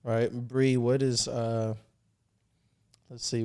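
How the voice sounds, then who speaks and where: A young man hosts a loose, slangy podcast conversation with a mid-range voice. He talks in a relaxed way and laughs often between his questions. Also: mid-range voice, slow pace, smooth. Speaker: podcast host. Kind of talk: casual podcast chat.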